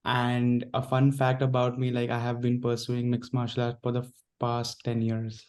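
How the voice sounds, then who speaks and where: A young man from India with an Indian accent, speaking haltingly as a student on a video call. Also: mid-range voice, monotone, darkish tone. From New Delhi, India. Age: young adult. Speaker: graduate student panelist. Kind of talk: casual self-introduction. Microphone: video call microphone.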